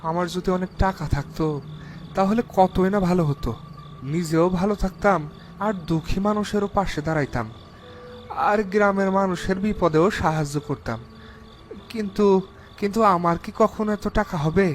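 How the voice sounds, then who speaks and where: A man's voice: high voice, moderate pace, long pauses, a little hiss or hum, lightly acted. A man playing an anxious village farmer in a cartoon moral story, speaking plaintively and tending to stammer when agitated. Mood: wistful, troubled.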